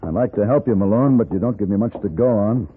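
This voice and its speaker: A man's voice: on the low side, fast talker, a little hiss or hum, close to an everyday voice. Man playing a suave western gunman, tinny radio. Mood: composed, courteous. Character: composed, courteous western gun-for-hire man. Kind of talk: 1950s radio western acting. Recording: tinny 1950s radio broadcast.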